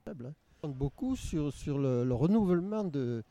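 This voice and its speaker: A man's voice: a little high, animated, moderate pace, dark tone, no echo. An older man from Nice, the organizer of a local art exhibition, answering interview questions hesitantly with frequent repeats. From Nice, France.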